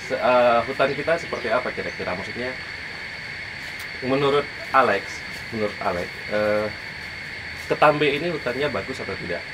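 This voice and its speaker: A man's voice: mid-range voice, animated, brisk. A young man with an Indonesian accent interviewing a visitor outdoors, hesitant and searching for words, with many fillers. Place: outdoors by a wooden lodge in a forest. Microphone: camera mic, medium distance. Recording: loud outdoor noise.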